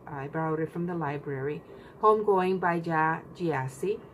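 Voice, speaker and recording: A woman hosting a book channel, chatting casually with many pauses and fillers. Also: sits low, slight background noise, poor audio. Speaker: BookTube channel host. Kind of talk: casual book tag vlog.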